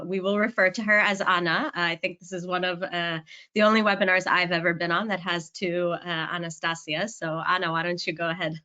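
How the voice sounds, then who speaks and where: Bright-voiced woman moderating a webinar. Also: on the low side, clear, resonant tone. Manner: upbeat, friendly, frequent ums. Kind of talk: hosting a business webinar. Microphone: video call. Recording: muffled video call audio.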